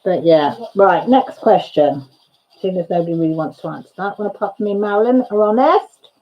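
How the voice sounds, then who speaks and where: A woman's voice: medium pitch, a little fast. A middle-aged Welsh woman chatting at home, reading comments aloud and laughing between them. Her voice sits mid-range, and the recording is a little dull and lo-fi. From Wales. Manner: chatty, giggly, reads comments aloud, playful repetitions. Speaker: channel host. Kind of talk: casual chat reading viewer comments.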